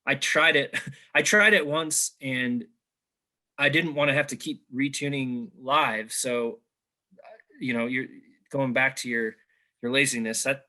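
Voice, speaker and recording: A man with a bright, forward voice hosts an interview over a video call. He talks at length, stacking fillers and restarts as he works toward his questions. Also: medium pitch. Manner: talkative, rambling, filler-heavy. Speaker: interviewer, host. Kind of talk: conversational interview.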